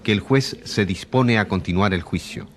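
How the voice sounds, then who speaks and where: An actor playing a solemn announcer, giving dramatic scripted narration for a radio courtroom drama over a crowd. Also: male voice, fairly low pitch, normal speed, heavy background noise, close to an everyday voice. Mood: suspenseful. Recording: crowd murmur behind.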